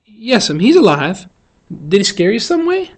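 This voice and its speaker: A man's voice: sits high, sing-song, normal speed, slightly rough, pitched above the performer's own voice, full character voice. A man voicing an earnest, polite little schoolboy from a storybook, eager and folksy. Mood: gentle, reassuring.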